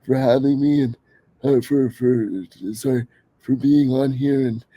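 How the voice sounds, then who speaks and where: A man's voice: mid-range voice, flat delivery, unhurried, no room sound, dull audio. A man hosting a podcast in an earnest, enthusiastic way, his voice a bit worn from being slightly sick. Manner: earnest, enthusiastic, many fillers and restarts. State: a little sick, voice under the weather. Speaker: podcast host. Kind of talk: casual podcast talk.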